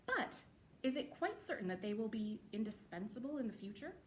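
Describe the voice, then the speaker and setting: A woman's voice: a little high, big pitch swings, brisk, slight background noise. A woman reading a formal letter aloud as a dramatic performance, arch and emphatic with mock earnestness. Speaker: actor reading historical letters.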